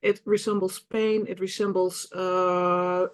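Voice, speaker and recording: A woman's voice: fairly low pitch, little pitch movement, takes it slowly, clean tone. A woman in her fifties with a Dutch accent, a non-native English speaker giving a webinar presentation as a patient representative, speaking hesitantly with many fillers and restarts. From Netherlands. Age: 50s.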